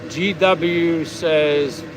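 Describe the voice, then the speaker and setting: A man's voice: a little high, steady tone, extremely slow, background noise. An American man hosting a casual vlog, talking in a rambling, easygoing way as he reads out viewer comments. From United States. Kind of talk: casual livestream chat.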